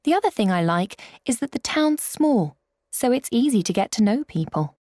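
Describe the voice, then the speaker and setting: Sociable, contented female newcomer, played by a woman, lively intonation, textbook audio, dry sound. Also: a little high, normal speed, no echo. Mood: contented, upbeat. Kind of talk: scripted small talk for an English listening exercise.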